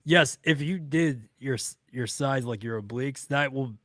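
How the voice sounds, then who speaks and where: A man's voice: medium pitch, normal speed, fairly bright tone, no echo. A man chatting casually as a co-host, often starting hesitantly and repeating words. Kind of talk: casual chat.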